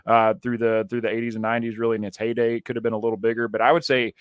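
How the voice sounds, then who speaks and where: A man talks in a loose, rambling way on a podcast, swearing casually as he goes. His voice sits at an ordinary middle pitch. The close mic sounds dry, with no room in it. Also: little pitch movement, very fast, clean tone, no room sound. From Kentucky, USA. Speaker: podcast co-host. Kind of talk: casual chat.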